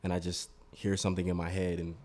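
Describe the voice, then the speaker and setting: Male producer guest, low flat delivery, deep voice, calm studio chat. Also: fast. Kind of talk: casual interview chat.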